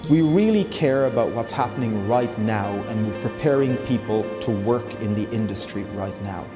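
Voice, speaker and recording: A man's voice: lowish voice, expressive, normal speed, in a large hall, over music. A man giving a confident promotional interview about a business program, filmed in an office.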